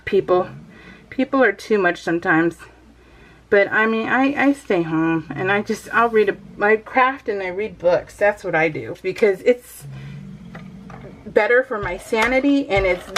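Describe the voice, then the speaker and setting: A woman's voice: mid-range voice, measured pace, low-quality recording. Chatty, giggly middle-aged American woman. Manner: giggly, self-deprecating, chatty. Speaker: craft video host. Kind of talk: casual rambling chat.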